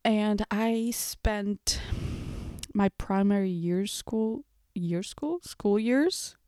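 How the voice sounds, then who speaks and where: Chatty young woman, mellow and dry. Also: medium pitch, very slow, clear, resonant tone, no echo. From Portugal. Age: 20s. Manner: chatty, self-mocking, laughs at own slips. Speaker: podcast host. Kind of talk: casual solo chat. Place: home room with bookshelf.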